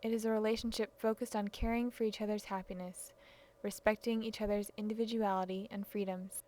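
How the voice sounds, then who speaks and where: A woman's voice: mid-range voice, level tone, fairly quick, warm tone. A woman reading a written personal statement aloud. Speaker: reader of personal testimony.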